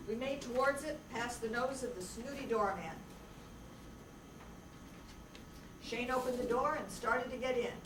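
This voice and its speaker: A woman's voice: a little high, lightly acted. A woman playing a brash, bossy hard-boiled detective with wry slang in an amateur radio play. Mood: wry narrating. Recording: far, echoey room with clear noise.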